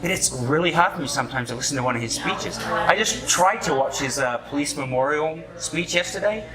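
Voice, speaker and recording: A man's voice: fairly high pitch, lively intonation, a little fast, low-quality recording. A man co-hosting a casual opinionated talk show, blunt and teasing, swearing freely. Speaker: co-host. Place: bakery, among other people. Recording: busy public room with chatter.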